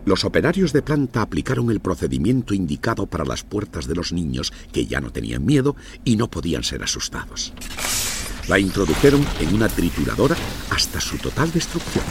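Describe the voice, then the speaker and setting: A man's voice: low-pitched, big pitch swings, brisk, noisy background. A man narrating a scripted children's story with dramatic storytelling delivery.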